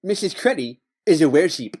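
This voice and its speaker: A man's voice: fairly high pitch, expressive, fairly quick, a little mellow, husky. A man playing a sarcastic, competitive detective's partner in an audio drama, with a teasing manner. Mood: sardonic. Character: snarky, competitive male detective sidekick.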